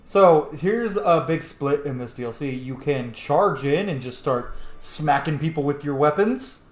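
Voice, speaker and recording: Animated, echoey male gamer commentator. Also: a little high, measured pace. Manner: playful, jokey, sing-song asides. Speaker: let's player. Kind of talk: casual gameplay commentary.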